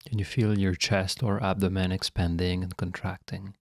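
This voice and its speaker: Low-voiced man guiding meditation. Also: dry recording, studio quality. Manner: calm, measured, instructional, with long pauses. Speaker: meditation guide. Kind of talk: guided meditation narration.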